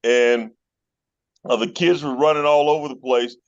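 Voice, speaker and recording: A middle-aged man from with a mid-pitched voice. He talks as a guest on a group call, friendly and rambling, with frequent fillers. The sound is a bit dull, like call audio. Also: fairly even intonation, normal speed. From Chicago North Side. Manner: friendly storyteller, many fillers. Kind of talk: casual phone call chat.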